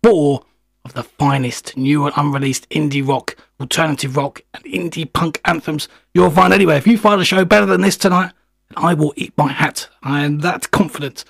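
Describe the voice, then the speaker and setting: A male radio host with a gravelly, slightly husky voice from a chest infection, chatting loosely with plenty of fillers. His pitch sits a little high for a man, and the sound is dry and close. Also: moderate pace, no room sound. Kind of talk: radio show presenting.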